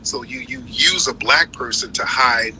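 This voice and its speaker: A man runs a heated live call-in show. He talks over his guest and stacks up quick "no, no" denials. His voice has a very bright, cutting edge, and faint noise hums under it. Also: mid-range voice, sing-song, creaky, poor audio. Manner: forceful, interrupting, repeats "no, no". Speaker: live stream host.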